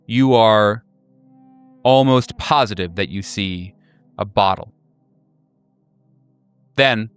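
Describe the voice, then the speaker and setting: A man narrating a horror tabletop game as game master, improvising with deliberate, halting pauses. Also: fairly low pitch, a bit bright, clean tone.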